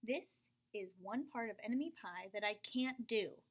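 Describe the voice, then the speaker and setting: Woman reading as a storybook dad, secretive, knowing, hushed and confiding, fairly high voice. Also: unhurried. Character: secretive, knowing middle-aged father.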